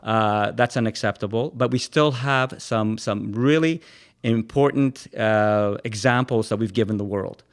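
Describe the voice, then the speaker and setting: A man's voice: lowish voice, a little slow. A man co-hosting a podcast, insistently defending his view in a political debate. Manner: earnest, insistent, defends his points firmly. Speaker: podcast co-host.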